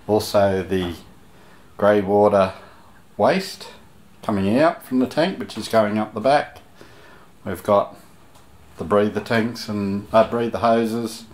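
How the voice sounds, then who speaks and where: Middle-aged male DIY vlogger, lowish voice, casual and halting, narrating from behind a handheld camera outdoors. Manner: halting, pausing mid-sentence to find words. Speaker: DIY bus-conversion vlogger. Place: outdoors in a stripped vehicle body. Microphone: handheld camera, operator behind it.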